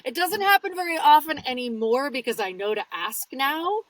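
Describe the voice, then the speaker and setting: A woman's voice: high voice, lively intonation, moderate pace, far from the mic, a crowd in the background. A woman audiobook narrator, talking casually and explaining her work in an interview, laughing easily. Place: home studio.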